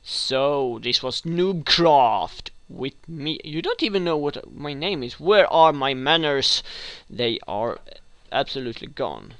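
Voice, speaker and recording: A man's voice: medium pitch, animated, slow pace, fairly bright tone, clear, resonant tone, no room sound. A young man from Sweden with a Swedish accent, hosting a rambling game tutorial with many hesitations and restarts. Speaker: gaming tutorial host.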